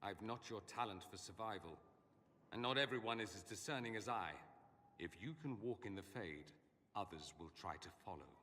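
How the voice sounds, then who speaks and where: A man's voice: on the low side, moderate pace, pushed and bright. A man playing a witty, haughty, cultured mage scholar in a video game, with a theatrical, sardonic and articulate delivery. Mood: serious, wry. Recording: long echoing hall reverb.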